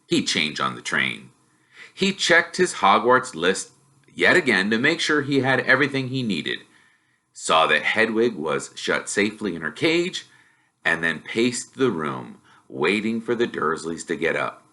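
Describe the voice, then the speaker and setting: Male storybook reader, expressive narration, very bright tone, animated pitch movement. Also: unhurried.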